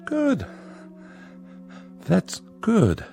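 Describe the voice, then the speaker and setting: A man's voice: sits high, wildly swooping pitch, extremely slow, creaky, warm tone, faint music behind, heavily acted. A man playing a nervous farmer father with an American accent in a radio drama, folksy, hesitant and far too agreeable. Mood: weary, guarded.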